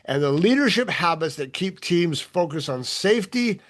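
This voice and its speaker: A man's voice: high voice, animated, normal speed. A man hosting a leadership podcast, reading a polished, upbeat scripted intro and then interviewing his guest. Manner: upbeat, polished promotional delivery. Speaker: podcast host.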